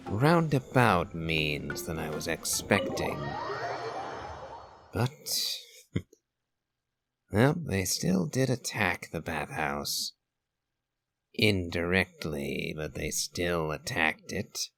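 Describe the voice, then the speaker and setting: Deep, gravelly man commenting on a game. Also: animated, slow pace, pauses often, fairly bright tone, soft background music. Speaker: streamer playing and reacting to a game.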